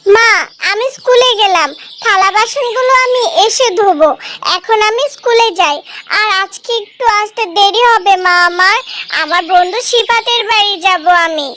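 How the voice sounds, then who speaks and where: A woman's voice: very high voice, moderate pace, bright, forceful tone, a little hiss or hum. A woman playing an innocent, trusting young schoolboy in a cartoon, eager and pleading. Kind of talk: cartoon story voice acting.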